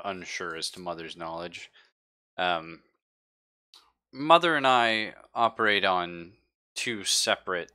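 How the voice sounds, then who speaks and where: A man improvising as game master of a tabletop roleplaying game, halting as he thinks aloud. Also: sits low, bright, forceful tone.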